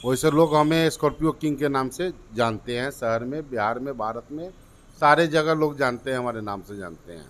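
A middle-aged man, the owner of a car showroom, giving a formal and boastful sales pitch in an office. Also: fairly quick. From Bihar, India. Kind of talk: interview answers. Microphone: lapel mic.

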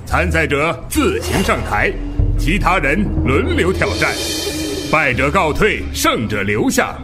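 A man plays a dignified tournament master in a wuxia radio drama. His delivery is formal and animated, fairly high for a man, with music clearly behind him. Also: a little slow. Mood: formal, courteous. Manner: formal, polite, declamatory. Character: courteous, dignified male martial-arts tournament host. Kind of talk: formal Chinese wuxia audio drama acting. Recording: music under the voice.

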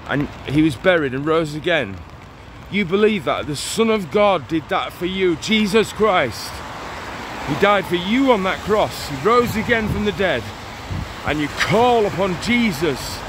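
A man in his forties preaches as he walks through town in the rain, his phone held out in front of him. His voice sits a touch high for a man, and his delivery is earnest and urgent, circling back over the same points. Clear traffic noise runs behind him. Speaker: street evangelist vlogger. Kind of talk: walk-and-talk preaching vlog. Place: outdoors on a town street in the rain. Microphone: phone held at arm's length. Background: passing traffic.

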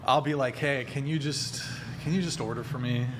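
Guy hosting a podcast panel, thirties, regular pitch, just chatting into a mic. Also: fairly even intonation, normal speed, background noise. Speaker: podcast host. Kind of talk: casual panel discussion. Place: indoor podcast studio. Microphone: podcast microphone.

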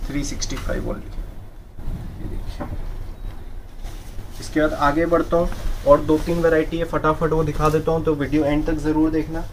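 Young man, saree seller, slightly high voice, brisk sales patter, faint room noise. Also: little pitch movement, poor audio. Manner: brisk sales patter, repeating prices in English. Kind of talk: wholesale product showcase. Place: indoor saree shop floor.

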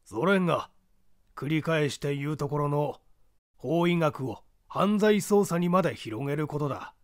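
An opinionated forensic professor from a mystery audiobook, acted out by a man, holding forth emphatically. The voice sits a little high and moves dramatically up and down, captured dry and close. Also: lively intonation, a little slow, no echo. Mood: emphatic, assertive. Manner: didactic, declamatory, emphatic.

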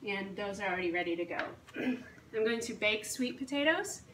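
A woman's voice: medium pitch, expressive, moderate pace, fairly bright tone. A woman in her thirties, a fitness coach, talking casually and matter-of-factly to camera as she explains her routine in a home kitchen. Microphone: camera a few feet away. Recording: echoey kitchen, distant mic, faint hiss.

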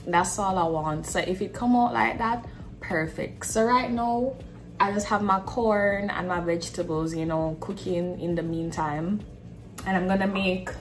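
A woman in her twenties with a Jamaican accent, chatting casually in informal, Creole-tinged phrasing as she films a cooking vlog in a home kitchen. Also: on the low side. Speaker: cooking vlogger. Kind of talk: casual chat while cooking.

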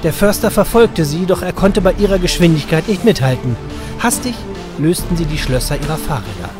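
A man's voice: fairly high pitch, lively intonation, fairly quick, over music. A man reading scripted narration as the narrator of a children's audio drama.

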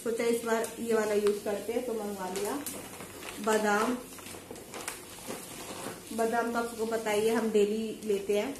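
A woman's voice: fairly high pitch, little pitch movement, a little slow, long pauses. Chatty woman in an echoey room. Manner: chatty, explaining each item while handling it. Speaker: vlogger showing a grocery haul. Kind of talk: casual chat to camera. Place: home living room, indoors. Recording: echoey room with faint ambient noise.

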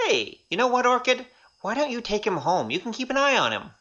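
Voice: medium pitch, big pitch swings, a little fast, bright, forceful tone, highs cut off. An actor playing a cheeky young male rogue in an audio drama, with a wry, glib manner. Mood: wry, sly.

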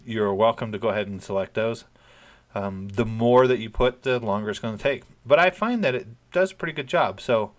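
A man giving an informal, chatty screen-recorded software tutorial, explaining each step plainly and downplaying his own expertise. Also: mid-range voice.

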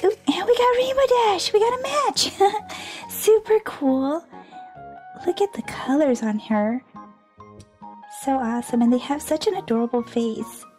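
A woman's voice: high voice, lively intonation, unhurried, long pauses, a little mellow, faint music behind. A woman hosting a toy unboxing video, chatting cheerfully and gushing over each toy. She is under the weather and losing her voice, and she giggles often. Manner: bubbly and gushing, repeats "super awesome", giggles often. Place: indoors at a tabletop toy display.

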